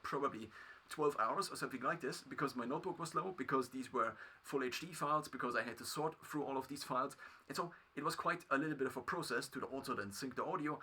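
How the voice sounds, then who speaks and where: A man with a German accent, a native German speaker, talking through his past as a video creator in a halting solo monologue full of restarts. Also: mid-range voice, sharp tone, husky. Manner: halting, many restarts and fillers.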